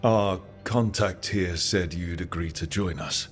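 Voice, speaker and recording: An actor playing a steady, friendly male team leader in a fantasy video game. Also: sits low, a little slow, faint music behind. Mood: welcoming.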